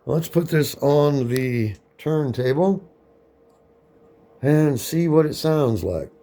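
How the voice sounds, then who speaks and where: A man giving a casual, matter-of-fact hands-on demonstration, narrating each step aloud in an unhurried way. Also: on the high side, lively intonation, warm tone. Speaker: record cutting demonstrator. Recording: clear background noise.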